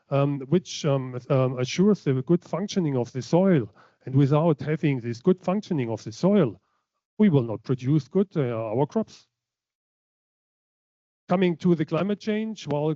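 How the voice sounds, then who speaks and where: A man lectures from slides at a conference, pausing often on fillers and restarting his sentences. His voice sits a little high for a man, yet it sounds mellow and dark. The sound is dry and slightly muffled. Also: dry recording. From Germany. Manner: hesitant, many fillers and restarts. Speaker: keynote speaker.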